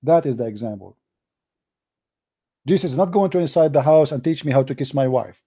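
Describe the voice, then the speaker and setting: A man's voice: a little high, brisk, mellow, breathy edge, no room sound. A man hosting a heated call-in debate, his voice tired and dry, combative and repeating himself. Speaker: debate show host.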